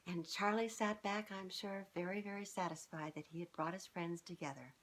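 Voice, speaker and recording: A woman's voice: fairly low pitch, steady tone, moderate pace, fairly bright tone, slight background noise. A middle-aged woman reading a children's storybook aloud, speaking expressively and directly to young listeners. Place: small furnished living room, indoors.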